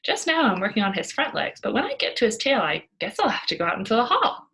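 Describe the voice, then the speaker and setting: A woman voicing a cheeky, cocksure young girl from a children's storybook, loud, emphatic and argumentative. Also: fairly high pitch, sing-song, fast talker, heavily acted. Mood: breezy, self-assured. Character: cheeky, boisterous young girl, cocksure tall-tale teller. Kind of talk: children's storybook read-aloud.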